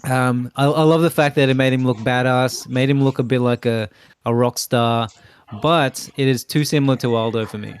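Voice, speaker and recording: A man's voice: medium pitch, steady tone, moderate pace, clear, resonant tone. A man as a guest in a casual chat, easygoing and a little hesitant.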